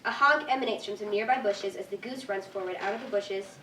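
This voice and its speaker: A woman's voice: medium pitch, a little fast. A woman reading scripted stage directions as narrator at a staged reading. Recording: distant echoey room, faint noise.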